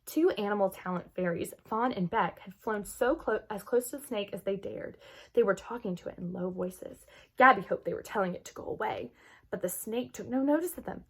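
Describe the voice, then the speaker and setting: A woman reading a children's story aloud expressively, with dramatic emphasis and hushed moments. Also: medium pitch, brisk, husky. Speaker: children's book read-aloud host.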